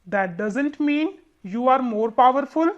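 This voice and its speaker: A woman voicing a calm, warm, gently teasing male sun in a children's storybook, unhurried in manner. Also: sits high, muffled recording, pitched below the performer's own voice.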